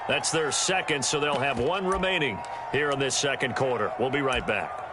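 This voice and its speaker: A man's voice: mid-range voice, moderate pace, a loud crowd, natural voice. A man with an American accent voicing a football play-by-play announcer in a video game, with polished broadcast delivery. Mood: brisk, focused. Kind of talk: sports commentary in a video game. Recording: game stadium noise behind. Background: loud football game sounds.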